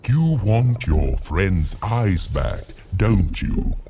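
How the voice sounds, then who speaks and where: An actor playing a pompous, touchy, scheming mayor in a video game, theatrical and fond of clumsy rhymes. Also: male voice, lowish voice, sing-song, extremely slow, creaky, warm tone, a little hiss or hum, exaggerated voice. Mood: scheming, smug. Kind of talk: video game character acting. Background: game music.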